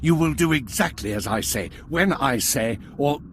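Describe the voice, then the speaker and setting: An actor playing an arrogant Imperial officer in a video game, barking orders with haughty contempt. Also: male voice, mid-range voice, lively intonation, moderate pace, slight background noise, lightly acted. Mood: threatening. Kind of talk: video game cutscene acting.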